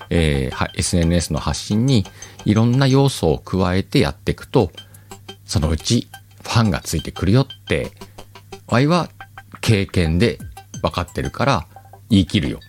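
A man hosts a casual solo podcast, chatty and teasing, often chuckling at his own remarks. His voice sits very low, yet his intonation swings widely. Soft background music runs underneath. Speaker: podcast host.

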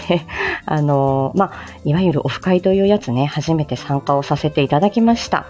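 A woman's voice: deep voice, expressive, normal speed, a little mellow, faint music behind. A woman from Japan chatting casually as a livestream host, in a giggly, self-deprecating manner. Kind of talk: casual morning livestream chat.

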